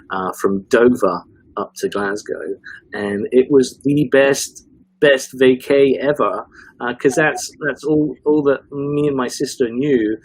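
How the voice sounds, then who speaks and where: A man's voice: on the low side, breathy edge, poor audio. A man with an English accent with some northern vowels, chatting casually as a guest and wandering through nostalgic stories with many restarts. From grew up in a British Forces family in Germany. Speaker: podcast guest. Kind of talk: casual interview chat.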